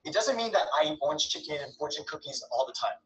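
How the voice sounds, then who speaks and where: A young man acting in a comedy sketch, then speaking directly to the viewer in an animated, exaggerated way. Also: on the high side, quick pace, rough recording. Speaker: sketch actor.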